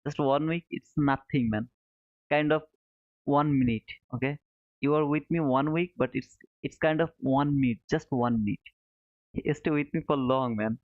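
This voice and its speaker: A man's voice: medium pitch, measured pace. A man hosts a live stream, casually answering viewers in short, repeated phrases with chummy, informal address. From Bangladesh. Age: 30s. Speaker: live trading stream host. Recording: narrow phone-like sound, roomy echo.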